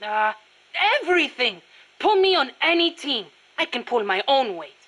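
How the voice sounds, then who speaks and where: A woman's voice: sits high, sing-song, moderate pace, a bit bright, exaggerated voice. A woman voicing an earnest, proud young boy guerrilla in a video game, youthful and hesitant when flustered. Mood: proud, eager. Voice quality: youthful, boyish.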